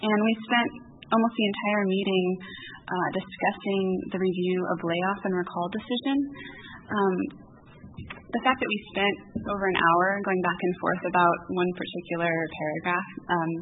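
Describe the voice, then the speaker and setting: Quiet woman reading out a committee report, far from the mic, tinny and hissy. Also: lowish voice, fairly even intonation, moderate pace. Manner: soft-spoken. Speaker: committee member giving a report. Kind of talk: reporting at a meeting. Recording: tinny, distant sound with hiss.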